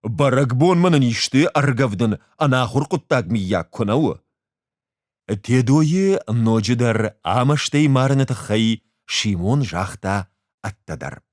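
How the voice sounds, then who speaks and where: A man reading a short story aloud as an expressive literary narrator. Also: medium pitch, unhurried, no room sound, clean studio sound.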